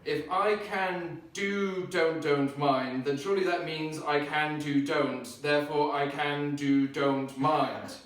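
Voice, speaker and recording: A man's voice, fairly high for a man, tears through an intense, rambling comic routine full of tongue-twisting wordplay. He switches back and forth to play both sides of a squabble. The sound bounces around a very reverberant venue, picked up from some distance by the mic. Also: fairly even intonation, slow pace, few pauses, faint music behind. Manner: acts out both sides of an argument. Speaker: spoken-word performer. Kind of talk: live spoken-word comedy set. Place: live event venue on stage. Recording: very echoey room, mic at a distance.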